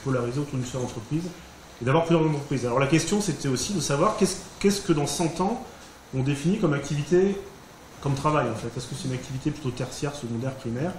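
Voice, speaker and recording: A man's voice: medium pitch, fast, a little mellow. A French man giving an informal spoken summary of a group's work, hesitating often with fillers and restarts. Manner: thinking aloud, many fillers and restarts. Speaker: workshop participant presenting group results. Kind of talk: informal presentation. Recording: echoey room, faint background hiss.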